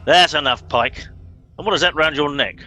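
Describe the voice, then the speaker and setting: A man as a pompous, bright-toned captain. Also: fairly high pitch, normal speed, faint music behind. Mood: exasperated. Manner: pompous, officious, exasperated. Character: pompous, impatient male captain of bumbling crew. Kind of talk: comic radio play acting.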